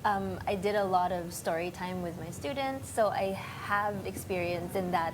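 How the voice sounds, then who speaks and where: Young teacher, soft and gentle, distant mic, noisy room. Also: female voice, lowish voice, moderate pace. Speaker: early learning teacher, guest.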